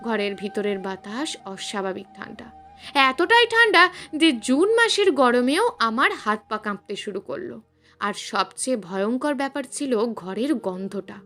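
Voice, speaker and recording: A woman's voice: on the high side, big pitch swings, a little slow, bright, forceful tone, faint music behind. A woman narrating a scripted suspense story in a dramatic, emphatic storytelling manner.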